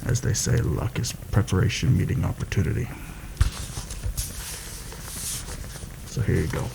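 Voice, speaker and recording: A man acting out a seasoned rebel assassin in an ASMR fantasy roleplay. The voice is low and creaky, kept soft and measured, with a wry, conspiratorial manner. There is clear noise behind it. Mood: calm, instructive. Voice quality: gravelly, creaky. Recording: noticeable background noise.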